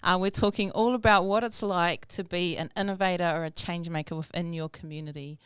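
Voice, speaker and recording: Smooth low-voiced New Zealand woman hosting. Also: steady tone, brisk, fairly bright tone, no echo. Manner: informal, mixing Māori words into English. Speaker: podcast host. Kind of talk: casual interview hosting.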